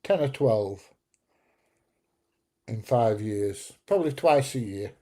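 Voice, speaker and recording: A middle-aged man chats away on a casual livestream, telling rambling, jokey stories. His voice is very dark and husky, with a gravelly edge. He speaks at an easy, slow pace. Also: on the low side, lively intonation. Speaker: livestream host. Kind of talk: casual live chat reading viewer comments.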